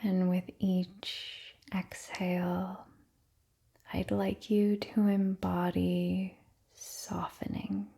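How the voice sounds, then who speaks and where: A woman guides a meditation in a breathy, near-whispered voice with a mellow, dark tone. Her delivery is very slow and soothing, with long pauses between phrases. The intonation stays quite flat and gentle throughout. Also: fairly low pitch.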